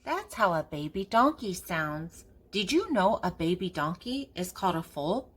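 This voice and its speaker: An older woman reading a picture book aloud to young children in a playful, animated way as the host. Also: on the low side, measured pace, husky. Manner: playful, acting out animal sounds.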